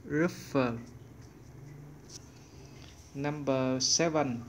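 A man teaching an online English lesson, working through exercises in a slow, methodical way. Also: mid-range voice, slight background noise.

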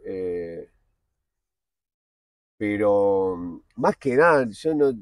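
A man in his forties with a Buenos Aires accent, a guest talking candidly outdoors in a park, blunt and slangy, often halting and restarting. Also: fairly low pitch, expressive, dry recording. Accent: Buenos Aires Spanish. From Argentina. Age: 40s. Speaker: guest, TV producer. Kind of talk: candid casual interview.

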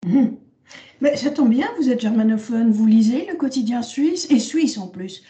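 Mellow-voiced woman interviewer in an echoey room. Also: fairly high pitch, animated, normal speed. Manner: polite, asks long framed questions. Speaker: interviewer, host. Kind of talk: interview questions.

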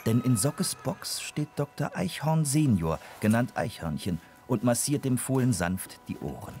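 A man's voice: mid-range voice, big pitch swings, measured pace, darkish tone. A man narrating a scripted children's audio story.

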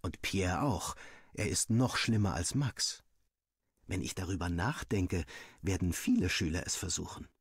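A man reading a first-person story aloud in an expressive, scripted storytelling style, with a husky voice. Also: sits low, unhurried, no room sound, clean studio sound.

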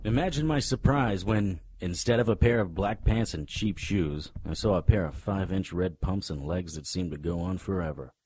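A man's voice: deep voice, moderate pace, hardly any pauses, background noise, lightly acted. An actor playing a hard-boiled, wisecracking private detective, narrating a noir radio drama in a wry, tough-guy manner. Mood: wry narration.

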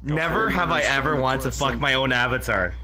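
A man's voice: medium pitch, brisk, over a noisy crowd. A man joking around casually in an online group voice chat. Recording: noisy mic with hum.

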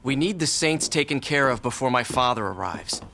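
An actor playing an arrogant young gang boss and spoiled heir in a video game, condescending and curt. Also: male voice, mid-range voice, lively intonation, moderate pace, vocal fry, sharp tone, faint music behind. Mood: furious. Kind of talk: video game cutscene acting.

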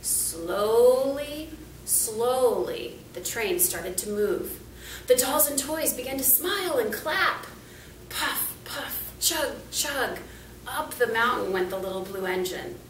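A woman reads a children's picture book aloud with lively, rising and falling intonation. She is recorded from a little distance in an echoey small room, with faint background noise. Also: fairly high pitch, takes it slowly. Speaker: volunteer storybook reader. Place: small indoor room, seated. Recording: roomy, distant camera mic, faint noise.

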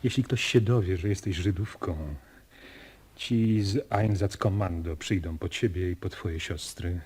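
An actor playing a grave but gentle father in a radio drama, with a husky voice. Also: sits low, a little slow. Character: grave, gentle father guarding a family secret. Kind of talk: Polish radio drama acting.

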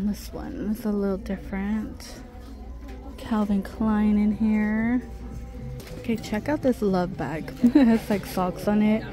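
A woman's voice: mid-range voice, dark tone. A middle-aged woman vlogging a casual shopping trip, chatting and reading out prices as she browses a busy store. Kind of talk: casual shop-with-me narration. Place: busy discount clothing store.

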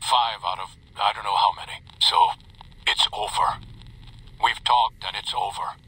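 A man's voice: on the low side, steady tone, measured pace, sharp tone, a little hiss or hum, rough recording. An actor playing a weary, defiant male survivor in a video game audio log, grim and strained, on a radio-like recording. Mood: grim defiance.

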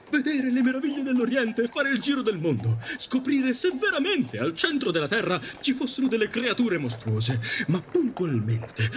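A man plays a wistful, romantic Victorian gentleman ghost in an audio drama. He is a wordy, theatrical storyteller with a highish voice that swoops dramatically, over some background noise.

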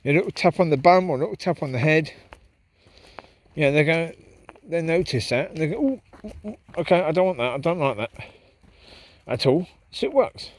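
A man's voice: fairly high pitch, expressive, moderate pace. A man talking casually to camera as he walks his dogs through a park at night, reflective and rambling. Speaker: vlogger walking his dogs. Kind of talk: casual walk-and-talk monologue. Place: park path at night, outdoors. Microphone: handheld camera while walking.

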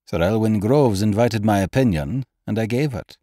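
A grave gentleman doctor from a gothic storybook played by a male reader. His low, mellow voice is measured and authoritative, grim yet gentle, with a close, dry sound. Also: animated, no room sound. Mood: courteous, firm. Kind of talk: audiobook character acting.

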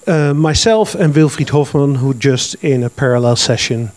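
A man in his fifties with a Dutch accent, giving a business presentation at a conference through a handheld microphone, talking plainly and filling pauses with uh and um. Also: mid-range voice, a little mellow, no echo. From Netherlands. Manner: plain, matter-of-fact, with frequent uh and um. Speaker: conference speaker. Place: large conference hall with audience.

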